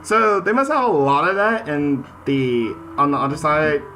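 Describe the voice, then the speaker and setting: A man's voice: on the high side, moderate pace, bright, forceful tone. A man hosting a gaming video, chatting casually and reading game text aloud. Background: game soundtrack music.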